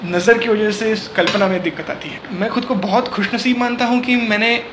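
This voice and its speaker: A young Indian man with a high voice gives explanatory, conversational interview answers, switching into English phrases. The recording is echoey, with faint noise behind him. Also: steady tone, brisk, a bit bright. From Mumbai, India. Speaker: interview guest, filmmaker.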